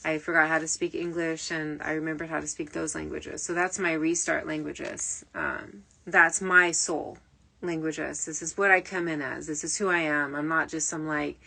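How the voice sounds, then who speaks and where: A woman's voice: low voice, steady tone, moderate pace, creaky, pushed and bright. A woman talking as a spiritual medium in a rambling, digressive late-night stream, full of fillers and restarts, with faint music behind her. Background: faint early nineties music.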